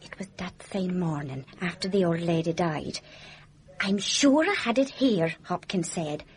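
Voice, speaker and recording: An actress playing a gossipy, plain-spoken nurse in a radio mystery drama, chatty and emphatic with folksy phrasing. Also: female voice, on the low side, animated, measured pace, a little hiss or hum. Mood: confiding, certain.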